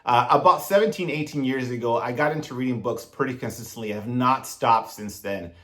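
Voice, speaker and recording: Man in his thirties, a self-improvement vlogger with an earnest, direct coaching delivery and an average-pitched voice. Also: a little fast, fairly bright tone. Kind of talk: motivational advice monologue to camera.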